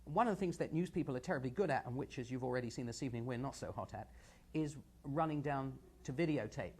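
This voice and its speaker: A man hosting a live television show, giving energetic, emphatic and conversational analysis of the media. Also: mid-range voice, fast, breathy edge. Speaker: television host and news critic.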